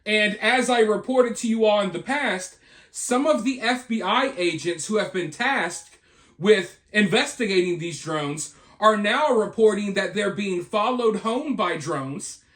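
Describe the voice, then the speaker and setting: A man's voice: high voice, measured pace, a bit bright. A man hosting an opinionated news commentary, speaking emphatically with repeated points and rhetorical questions. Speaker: video host and commentator.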